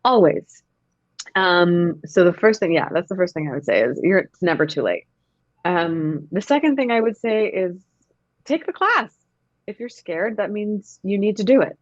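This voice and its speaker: A woman's voice: fairly low pitch, sing-song, moderate pace, dry recording, muffled recording. A woman in her thirties, an actor talking in a casual interview, reflective and emphatic. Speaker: actor, podcast guest.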